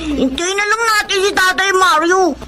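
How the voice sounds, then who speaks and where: A woman's voice: extremely high pitch, moderate pace, a loud crowd. A Filipino woman voicing an eager, polite little boy in a radio drama. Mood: patient. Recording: noisy background ambience.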